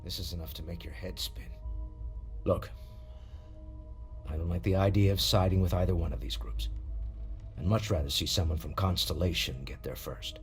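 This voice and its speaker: A man's voice: low-pitched, steady tone, moderate pace, long pauses, faint music behind, natural voice. A man voicing a principled, worried space explorer in a video game, earnest and plain-spoken. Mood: uneasy, resolved. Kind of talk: naturalistic dramatic game dialogue.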